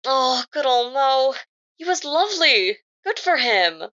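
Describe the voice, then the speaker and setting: A woman's voice: sits high, very animated, a little slow, a bit bright, full character voice. An actress playing an anxious young British woman in a naturalistic audio drama. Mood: cheerful, chatty. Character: anxious young woman doubting her own sanity.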